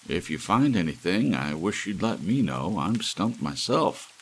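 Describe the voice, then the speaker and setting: A man voicing a brisk, self-assured scientist in his sixties from a storybook, emphatic and lecturing. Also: low-pitched, very animated, husky, pitched above the performer's own voice, full character voice. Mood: rueful. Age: 60s. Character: brisk, brainy elderly male scientist, intent and self-assured.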